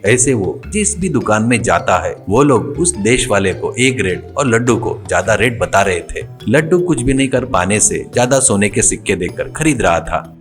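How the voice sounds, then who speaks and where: A man's voice: lowish voice, lively intonation, moderate pace, few pauses, faint music behind. A man narrating a scripted comic folk tale as a storyteller.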